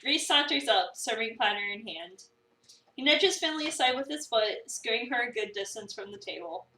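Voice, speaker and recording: A woman's voice: fairly high pitch, lively intonation, normal speed, sharp tone. A woman giving a dramatic narration of a visual novel, mixed with casual chat. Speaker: streamer reading a visual novel aloud. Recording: roomy, distant mic, music behind.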